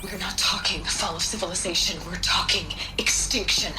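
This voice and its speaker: An actress playing a blunt, impatient middle-aged scientist who talks in cutting, commanding lines. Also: female voice, lowish voice, fairly even intonation, normal speed, over music, close to an everyday voice, rough recording. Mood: stern. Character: sharp, impatient woman scientist.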